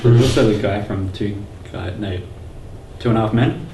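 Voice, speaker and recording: A man's voice: on the low side, normal speed, gravelly. A man hosting a podcast, chatting casually with the panel and putting questions to the others. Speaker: podcast host. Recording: echoey room, distant mic, faint noise.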